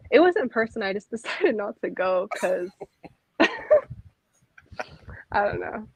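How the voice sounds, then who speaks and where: A woman in her twenties talking as a guest in a relaxed, laid-back way, laughing easily. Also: mid-range voice, a little slow, slightly rough, dry recording. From USA. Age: 20s. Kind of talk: casual chat. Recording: dull lo-fi dry sound.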